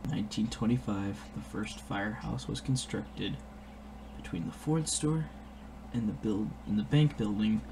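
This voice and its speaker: A man's voice: on the low side, moderate pace. A man narrating a piece of history from a script. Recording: noisy recording with background ambience.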